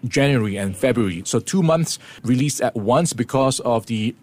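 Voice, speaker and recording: A man presenting a morning business news briefing, explaining the week's events in a steady way with occasional fillers. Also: mid-range voice, normal speed. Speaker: radio presenter.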